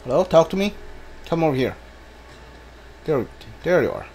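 A man's voice: fairly high pitch, very animated, moderate pace, darkish tone, a little hiss or hum. A man giving casual playthrough commentary as a video game streamer, muttering to himself and talking back to the game.

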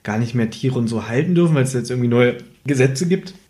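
A man's voice: mid-range voice, fast talker, dark tone. A tired man co-hosting a podcast, bantering casually in a blunt, teasing way. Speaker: podcast co-host.

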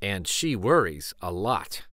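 A man reading a children's story aloud in an expressive, deliberate way. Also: sits low, no echo, clean studio sound. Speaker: storybook reader.

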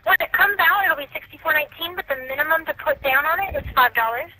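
A woman's voice: high-pitched, quick pace, sharp tone. A woman answering a call, heard over a telephone line. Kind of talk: answering phone calls. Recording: phone line, faint music behind.

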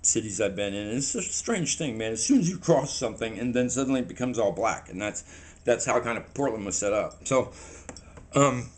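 A man, telling a story to the camera in a rambling, halting way and sounding a little shaky, recorded in a small room on a camera in front of him. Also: mid-range voice. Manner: rambling, halting, animated hand gestures. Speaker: vlogger.

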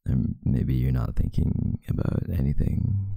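A young man doing an intimate ASMR boyfriend roleplay as a sleepy, affectionate, teasing partner in bed, murmuring softly and drowsily close to the microphone. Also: extremely low pitch, unhurried, no echo. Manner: soft, drowsy murmuring, partly breathy and whispered. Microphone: close microphone, dry sound.

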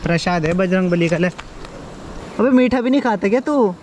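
A man's voice: high-pitched, animated, normal speed, darkish tone. A young man, vlogging casually and thinking aloud, with English words mixed in. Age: young adult. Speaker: motovlogger. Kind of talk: casual vlog chat. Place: outdoors on roads, riding a scooter and stopping. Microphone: action camera on helmet or handheld. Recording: noisy outdoor action-camera audio with vehicle sound.

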